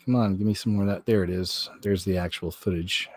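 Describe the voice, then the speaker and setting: A man's voice: deep voice, steady tone, a little fast, a little mellow, husky, no room sound. A man hosting a vlog, commenting on clips and news in a casual, folksy, rambling way. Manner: folksy, rambling, repeats himself.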